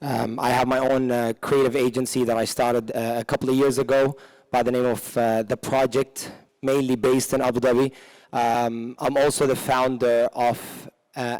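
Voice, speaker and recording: A man's voice: medium pitch, a little mellow, rough recording. A man from the UAE, a DJ and former radio presenter, talking casually on a panel with many fillers. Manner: casual, lots of "you know" and "um". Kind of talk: panel self-introduction.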